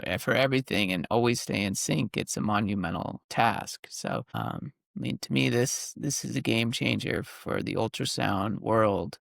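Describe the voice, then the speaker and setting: A man's voice: medium pitch, gravelly, fairly bright tone, no room sound, clean studio sound. A man hosting a conversational interview, recapping points and checking them with questions. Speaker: podcast host interviewing a guest. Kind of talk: conversational interview about technology.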